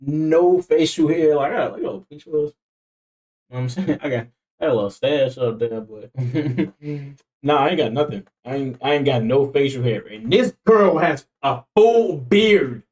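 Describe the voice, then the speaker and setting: A man's voice: on the high side, animated, normal speed, a little mellow. A man in his twenties chatting casually on stream, joking around in a slangy way and laughing often. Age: 20s. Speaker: streamer reacting to videos.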